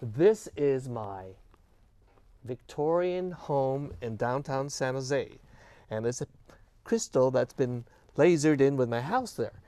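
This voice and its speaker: An older man whose first language is Cantonese talks in an earnest, unhurried way, with a few false starts as he answers. His voice sits at a middle pitch. The sound is dry and close. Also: expressive, dark tone, no echo. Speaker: festival volunteer guest. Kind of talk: casual interview answers.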